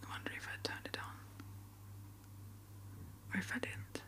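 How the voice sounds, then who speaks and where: A woman speaking in a gentle, hesitant, rambling way, as an ASMR creator chatting to her viewers. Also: normal speed. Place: small upstairs apartment.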